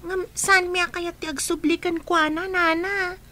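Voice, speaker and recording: High, bright-voiced orphaned child. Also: female voice, flat delivery, measured pace, full character voice. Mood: anxious questioning. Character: orphaned young child, anxious, questioning, respectful. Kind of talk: radio drama acting in Ilocano.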